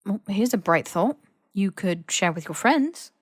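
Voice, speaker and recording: A woman's voice: mid-range voice, expressive, unhurried, husky, no room sound, studio quality. A woman voicing a stubborn, teasing young male wizard in his twenties, casual and cheeky, for an audiobook. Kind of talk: audiobook character voice.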